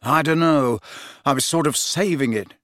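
A man's voice: a little high, normal speed, a bit bright, dry recording, clean studio sound, voice pushed up, close to an everyday voice. A man voicing a reserved, slightly weary teenage schoolboy in an understated, wry way. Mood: puzzled, hesitant.

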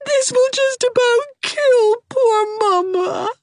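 A high, quavering young woman in a storybook reading, weeping and overwrought, drawing out every word with theatrical self-pity. The voice sits far above the reader's own, slow and tear-choked. The recording is dry and close. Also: dry recording. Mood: tearful, melodramatic. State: crying. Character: melodramatic young woman, weepy and self-pitying.

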